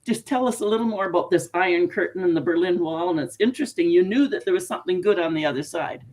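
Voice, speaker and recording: A woman's voice: fairly low pitch, fast talker, darkish tone. Chatty, giggly Canadian woman host. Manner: giggly, chatty, laughs often. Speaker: podcast host. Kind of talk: casual interview.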